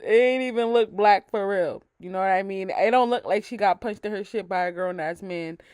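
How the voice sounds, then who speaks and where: A young woman with a deep voice telling a story to her viewers in a blunt, profane, animated way, acting out the lines of the people in it. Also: a little fast. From United States. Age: young adult. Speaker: YouTuber. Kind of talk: casual storytime vlog.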